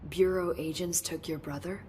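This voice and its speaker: An actress playing an eager, curious researcher in a video game, articulate and explanatory. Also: female voice, fairly low pitch, fairly even intonation, moderate pace, a little mellow, a little hiss or hum, natural voice. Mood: curious, concerned. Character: eager, curious woman researcher at a secret bureau.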